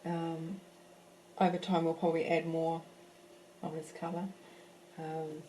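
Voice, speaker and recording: A fairly low, mellow-voiced woman talks through a painting lesson at an easy, chatty pace, often restarting her sentences. A faint hiss sits under her voice. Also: completely flat delivery, a little slow, rough recording. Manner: chatty, thinks aloud with fillers and restarts. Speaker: art teacher. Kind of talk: live painting class, casual talk. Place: home in a French village.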